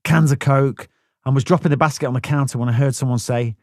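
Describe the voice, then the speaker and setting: A man's voice: medium pitch, steady tone, fast talker, a little mellow, no echo, studio quality. A man narrating a first-person memoir audiobook in a blunt, plain-spoken way, swearing freely.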